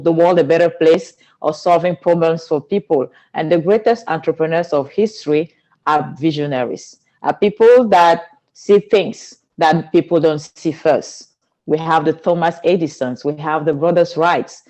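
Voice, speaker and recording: A woman's voice: deep voice, a little mellow. Cameroonian-accented woman, earnest and flowing. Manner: earnest, flowing, non-native phrasing. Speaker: guest, spiritual teacher. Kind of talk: podcast interview.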